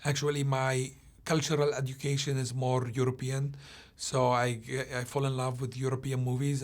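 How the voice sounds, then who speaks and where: A man from Palestine, a film director, answering interview questions in a halting way, often restarting as he searches for words. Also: medium pitch.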